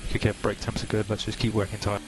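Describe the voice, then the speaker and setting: A man working as a race engineer, giving terse, calm, businesslike briefings to a driver over team radio. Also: fairly low pitch, fast talker. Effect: team radio transmission. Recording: very noisy team radio with engine and vehicle noise.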